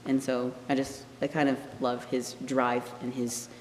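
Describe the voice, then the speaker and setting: A man's voice: mid-range voice, monotone, a little slow. A man in his late teens, a student actor, talking casually in an interview in a large auditorium. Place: large empty theater auditorium. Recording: echoey hall with clear background hum.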